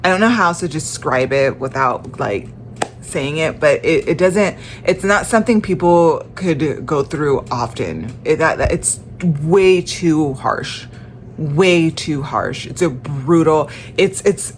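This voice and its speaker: A young woman with a stuffy, congested voice, talking in a casual personal vlog, halting and tired, with many restarts and fillers. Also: deep voice, animated, slight background noise. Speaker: vlogger.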